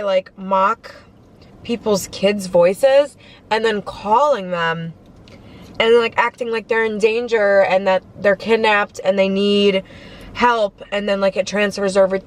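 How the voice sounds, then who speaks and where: A young woman chatting casually in a parked car, talking fast and filling her talk with "like". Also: medium pitch. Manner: chatty, filler-heavy, lots of "like". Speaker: vlogger. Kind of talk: casual car mukbang chat. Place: parked car at a drive-through.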